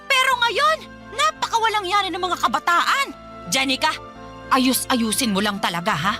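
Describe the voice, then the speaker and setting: A woman playing a strict, conservative middle-aged mother in a radio drama, sharp, nagging and accusatory. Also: high-pitched, very animated, normal speed, over music. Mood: scolding, indignant. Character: scolding, strict, conservative mother of grown children. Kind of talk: Filipino radio drama acting.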